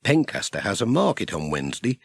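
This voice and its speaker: A man narrating a children's story in an expressive, scripted storytelling manner. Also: on the low side, fairly quick, gravelly, husky, dry recording. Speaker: audiobook narrator.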